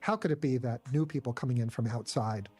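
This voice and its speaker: A man speaking as an interviewed scientist, explaining in measured academic phrasing. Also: medium pitch, darkish tone, a little hiss or hum. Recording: played-back video, faint music behind.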